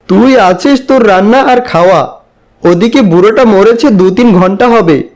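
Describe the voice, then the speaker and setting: A man's voice: high-pitched, darkish tone. A man playing a superstitious, anxious forest guard who repeats himself in a horror cartoon. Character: jumpy, superstitious forest guard, afraid of ghosts. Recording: faint music behind the voice.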